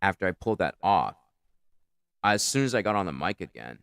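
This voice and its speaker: A man's voice: low voice, very animated, brisk, vocal fry, fairly bright tone, clean tone, dry recording, studio quality. A man with a powerful, carrying voice, casually reviewing his own comedy set in a rambling, profane, self-critical way. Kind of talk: casual commentary.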